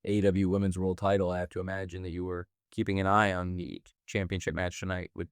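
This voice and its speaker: Low monotone guy asking press questions, smooth clean voice. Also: fast, no echo. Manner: formal, congratulatory. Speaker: company interviewer.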